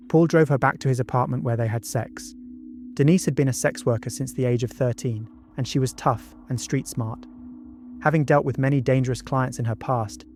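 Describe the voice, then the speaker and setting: A man reads scripted true-crime documentary narration in a measured storytelling manner. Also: mid-range voice, a little mellow, dry recording. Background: background music.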